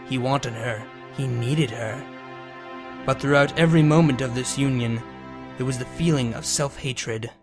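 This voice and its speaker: A man's voice: medium pitch, normal speed. A man who reads fan fiction aloud and hosts his own channel. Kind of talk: dramatic scripted story narration, then casual outro. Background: music.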